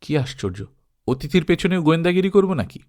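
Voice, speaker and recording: Man acting a wry, animated Pathan police inspector. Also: on the high side, fast, pitched above the performer's own voice. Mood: amused disbelief.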